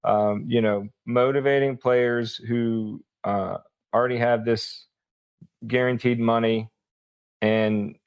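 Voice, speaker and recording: A man's voice: medium pitch, steady tone, slow pace, clean tone. Man talking sports casually, thinking aloud. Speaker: sports journalist guest. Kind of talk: casual unscripted sports commentary.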